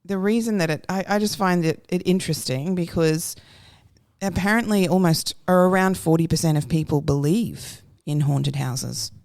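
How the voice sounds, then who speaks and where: A woman's voice: lowish voice, normal speed, darkish tone, no echo, studio quality. A woman co-hosting a faith podcast, asking questions in a friendly way. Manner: friendly, prompting questions, reads definitions aloud. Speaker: podcast co-host. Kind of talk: casual faith podcast chat.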